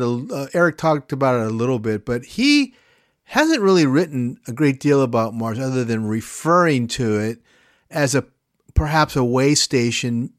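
A man's voice: medium pitch, no echo. A male host talks in an enthusiastic, rambling way, full of fillers. His voice sits in a middle range. The recording is dry and close. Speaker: podcast host. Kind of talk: interview and show promotion.

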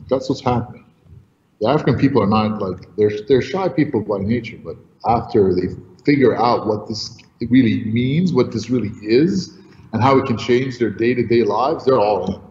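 A man born in Egypt and raised in New York, giving energetic, rambling answers in an interview. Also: fairly low pitch. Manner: energetic, rambling, repeats words. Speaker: crypto company founder. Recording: roomy, echoey, dull audio with faint noise.

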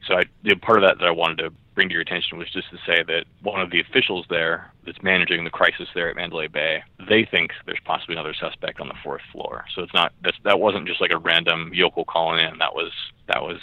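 Man as research co-host, low register, tentative casual explainer, heard over a muffled call line. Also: dry recording. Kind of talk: casual analytical discussion. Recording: muffled narrow phone-like line.

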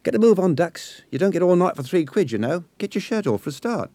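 A man's voice: sits high, sing-song, fast, no echo, voice pushed up. A man putting on a brash young Cockney girl. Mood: brash, scolding. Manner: blunt, loud and scolding.

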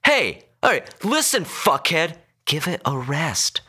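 A man voicing an angry, foul-mouthed male car passenger in an audiobook story, shouting and pleading in exasperation. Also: a little high, big pitch swings, slow pace, breathy edge, voice pushed up, full character voice. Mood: exasperated.

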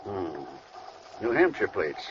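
An actor playing a firm, unflappable police officer in an old radio crime drama, measured and courteous in an official way. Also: male voice, lowish voice, sing-song. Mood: suspicious, firm. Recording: muffled, noisy vintage radio recording.

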